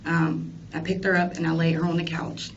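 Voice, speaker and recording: Young woman, vocal fry, tentative plain answers, police interview, far from the mic, slight noise. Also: sits low, little pitch movement, fairly quick. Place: indoor police interview room. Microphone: distant room microphone. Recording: distant, muffled, noisy interview recording.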